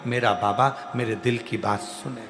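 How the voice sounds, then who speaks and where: A man preaching a devotional sermon to a large crowd, slow and emphatic, repeating key phrases. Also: medium pitch, steady tone, over loud music. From Central India. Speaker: preacher telling a scripture story.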